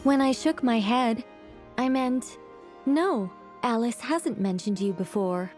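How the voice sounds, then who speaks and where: A woman playing a serene, courteous and enigmatic mage in a video game, speaking formally and gently. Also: fairly high pitch, slow, soft background music. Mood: apologetic, calm. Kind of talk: English video game voice acting.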